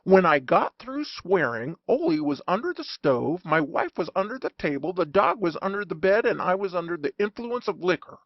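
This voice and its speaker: Animated man reading a humorous story, gravelly edge. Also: high-pitched, normal speed, hardly any pauses, no echo, muffled recording. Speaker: audiobook narrator. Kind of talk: scripted humorous narration.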